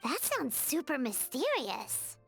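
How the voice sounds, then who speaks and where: A woman's voice: high-pitched, wildly swooping pitch, takes it slowly, fairly bright tone, faint music behind, heavily acted. A woman voicing a small, childlike fairy companion in an anime game, bubbly, cheerful and curious. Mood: curious, chatty. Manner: speaks of herself in third person, bubbly.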